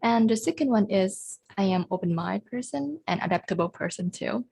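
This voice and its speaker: A woman's voice: medium pitch, expressive, normal speed, creaky, a little mellow, breathy edge. A young woman university student giving earnest, practiced mock job interview answers in English over a video call. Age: young adult. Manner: earnest, practiced, listing points.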